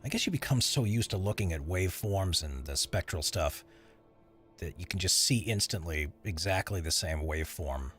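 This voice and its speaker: A man with a very low voice talks casually on a live stream while he works, and his pitch swings widely from phrase to phrase. He rambles and answers chat as it comes in. Faint music sits under him. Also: lively intonation, moderate pace, a bit bright. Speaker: streamer editing voiceover audio.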